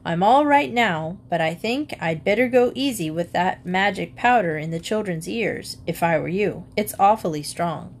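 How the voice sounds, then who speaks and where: A woman's voice: fairly low pitch, lively intonation, normal speed, few pauses. A woman voicing a practical, mild-mannered father in a storybook, cautious and instructing, on a noisy recording.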